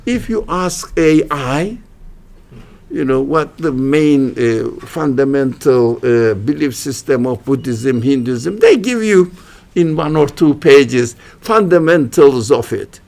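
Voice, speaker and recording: A man with a Turkish accent, a native Turkish speaker, giving an informal religious studies lecture in halting English, restarting phrases and making wry jokes at his own expense. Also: medium pitch, expressive, creaky, a little mellow. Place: lecture room at a university religious center.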